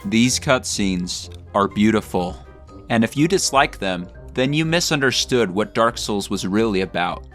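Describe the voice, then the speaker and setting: A man's voice: lowish voice, animated, measured pace, fairly bright tone, soft background music. A man delivering scripted satirical video commentary in a mock-serious way, with exaggerated theatrical emphasis. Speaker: video creator and narrator.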